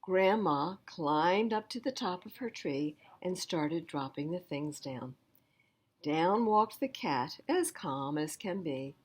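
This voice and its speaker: A woman storyteller reads aloud for small children, emphasizing words and turning to ask them questions. Her voice is fairly low and husky, with a very animated melody. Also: takes it slowly. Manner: emphatic, addresses young listeners directly. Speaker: library storytime reader.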